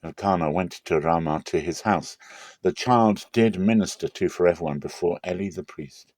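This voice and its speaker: A man narrating scripture as a dramatic reading, measured, with phrases falling at their ends. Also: low-pitched, a little mellow, dry recording. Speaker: Bible reader.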